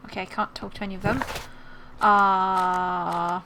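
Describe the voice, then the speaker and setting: A woman's voice: medium pitch, level tone, measured pace. Woman gamer with mixed transatlantic accent, noisy recording. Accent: mixed American and British. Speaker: gaming video host. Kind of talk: casual game playthrough commentary, reading game text aloud.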